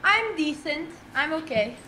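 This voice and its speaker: A young woman from Canada chats on a live stream with a high, bright, crisp voice. She teases in a playful, deadpan way. A faint hiss sits under her earbud mic. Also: unhurried. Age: young adult. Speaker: streamer co-hosting a speed date. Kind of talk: casual teasing chat. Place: indoor room with plants.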